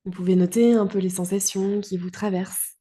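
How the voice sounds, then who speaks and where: A woman guiding a relaxation meditation as a yoga teacher, calm and soothing, in short phrases with long pauses. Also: mid-range voice, slightly rough. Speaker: yoga nidra guide.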